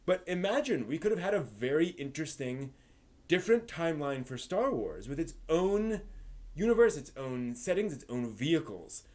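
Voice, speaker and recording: Casting: male live stream host, slightly high voice, conversational and enthusiastic storyteller. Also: normal speed. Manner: animated storyteller, emphatic, conversational. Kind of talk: casual enthusiastic monologue to stream viewers.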